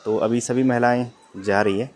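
A man's voice: mid-range voice, steady tone, moderate pace, a little mellow. A young man from Uttarakhand, narrating casually to viewers while riding a motorbike on hill roads. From Uttarakhand, India. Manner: chatty, addresses viewers as guys. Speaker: motovlogger. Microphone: helmet or body camera mic. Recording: noisy outdoor motorbike recording. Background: engine and traffic noise.

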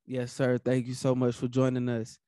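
A man's voice: mid-range voice, completely flat delivery, moderate pace, mellow, dry recording, clean studio sound. A man hosting a podcast and asking questions in a casual, conversational way. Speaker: podcast host. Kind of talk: casual interview.

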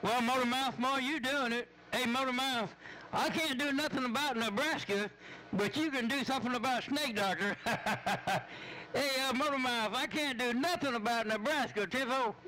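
A man's voice: very high-pitched, normal speed, low-quality recording. A man making folksy, repeated calls in casual CB radio slang. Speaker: CB radio operator. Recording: bright CB receiver audio with faint noise.

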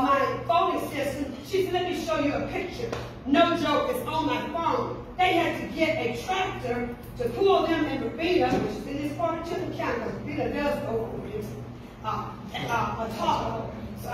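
High-voiced Southern woman orator, very echoey. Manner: impassioned, emphatic, colloquial public speaking. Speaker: political candidate, town councilwoman. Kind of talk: campaign speech at a community gathering. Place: medium-sized banquet room, indoors. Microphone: camera a few metres away at a dining table. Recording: roomy, distant, noisy phone recording from audience.

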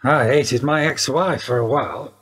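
An actor playing a sympathetic, measured police detective in a radio play. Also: male voice, medium pitch, a bit bright, breathy edge. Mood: reasonable. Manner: measured questioning. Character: sympathetic male police detective questioning a widow. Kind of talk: radio drama dialogue.

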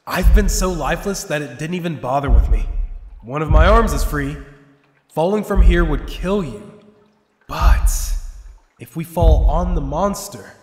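An actor playing a bleak, sardonic survivor who has given up on living, in a sci-fi audio drama. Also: male voice, on the high side, unhurried, darkish tone, natural voice. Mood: grimly resolved. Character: despairing, bitter male survivor resigned to death. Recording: echoey cavernous hall reverb.

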